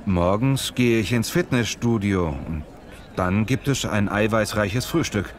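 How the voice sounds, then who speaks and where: Mann spielt tiefer klingender, muskelstolzer Deutschlerner, draußen mit Straßengeräuschen. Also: male voice, lowish voice, lively intonation, measured pace, a little hiss or hum. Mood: casual, self-satisfied. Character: lazy, muscle-proud male German learner from Italy. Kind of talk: scripted language-lesson dialogue.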